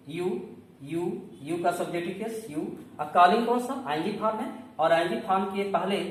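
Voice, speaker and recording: A man with a North Indian accent teaching grammar in a didactic classroom style, often asking questions and answering them himself. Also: high-pitched. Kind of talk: classroom-style lesson in Hindi with English terms. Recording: very echoey room, distant mic, faint noise.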